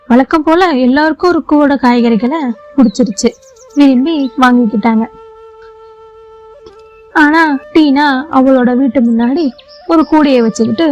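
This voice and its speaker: High-voiced woman narrating a children's story. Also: darkish tone, lo-fi sound.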